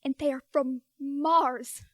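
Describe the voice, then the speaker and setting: A woman's voice: high-pitched, fairly even intonation, takes it slowly, pushed and bright, dry recording, studio quality, full character voice. A woman playing a grave male scientist in an amateur radio play, speaking in a solemn, portentous manner. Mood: grave, urgent. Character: grave male scientist, an excited expert on Mars.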